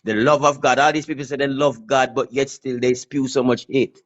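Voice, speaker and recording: A man's voice: medium pitch, little pitch movement, fairly quick, highs cut off. A man with a Jamaican accent, a pastor calling in, speaking earnestly and emphatically. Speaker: caller, pastor. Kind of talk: call-in conversation.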